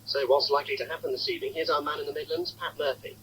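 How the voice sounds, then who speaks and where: A man reads the radio sports news from a script. He is fast and fairly high-voiced, with faint noise from an old broadcast recording. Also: huge pitch swings, a bit bright, low-quality recording. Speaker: sports presenter. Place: radio studio.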